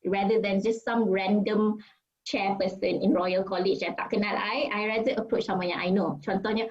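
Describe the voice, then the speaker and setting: A woman's voice: medium pitch, steady tone, a little fast, a little mellow, husky, dull audio. A Malaysian woman doctor with a Malaysian English accent talks casually as an interview guest, mixing English and Malay and using many fillers. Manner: switches between English and Malay mid-sentence, many fillers.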